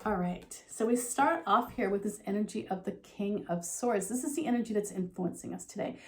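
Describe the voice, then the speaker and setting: A middle-aged woman giving a relaxed, talking tarot reading to her viewers, her voice a little froggy from a slight sore throat, with frequent ums and you-knows. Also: medium pitch, brisk. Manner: soft conversational, with frequent um and you know. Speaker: tarot reader.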